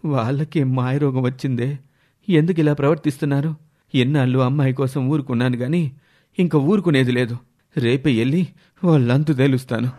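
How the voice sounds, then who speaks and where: A stern middle-aged father from a family drama story, acted by a man. He is protective, firm and deliberate, with a mid-pitched voice. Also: steady tone, dark tone, lightly acted. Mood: indignant.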